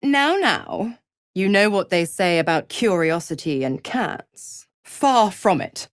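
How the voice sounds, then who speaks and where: A woman's voice: mid-range voice, no echo, clean studio sound, heavily acted. An actress voicing a gloating, seductive female devil in a video game, with a mocking, theatrical, condescending drawl. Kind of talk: video game character acting.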